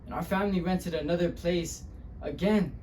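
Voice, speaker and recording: A man playing a wounded young Mexican-American man in a dramatic monologue about a hard childhood, speaking haltingly with long pauses. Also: high-pitched, mellow, slight background noise, pitched above the performer's own voice, natural voice, poor audio. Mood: somber, hurt. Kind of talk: dramatic interpretation monologue, speech-competition acting.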